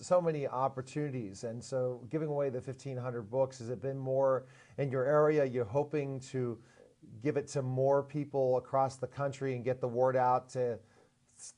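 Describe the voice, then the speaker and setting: A man's voice: medium pitch, steady tone, moderate pace, mellow. A man hosting a TV interview show in a studio, rambling with restarts and earnest, lecturing asides. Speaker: talk show host. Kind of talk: casual interview talk.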